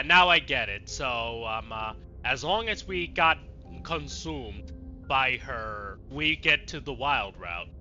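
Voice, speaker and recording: A man's voice: medium pitch, pushed and bright, muffled recording. A man streaming a let's play, commenting casually and bluntly and thinking aloud as he goes. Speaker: gaming streamer.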